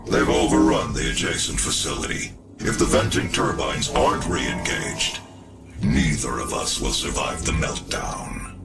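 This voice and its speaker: A man voicing a coldly authoritative cyborg research director in a video game, speaking in a measured, imperious way with a deep, gravelly, processed voice. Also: over loud music, heavily acted. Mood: urgent instructing. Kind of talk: scripted video game cutscene acting.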